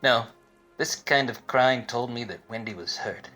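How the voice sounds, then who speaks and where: An actor playing a wise-cracking, obtuse hard-boiled private eye in a parody of 1940s detective radio plays, with wordy deadpan delivery. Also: male voice, mid-range voice, a little slow, faint music behind. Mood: smitten, solemn. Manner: deadpan, wordy noir narration.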